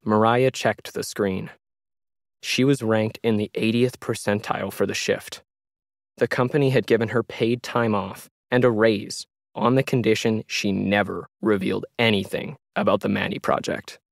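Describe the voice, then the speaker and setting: A man's voice: on the low side, fairly even intonation, normal speed, vocal fry, no room sound, clean studio sound. A man reading a scripted science fiction story as its narrator.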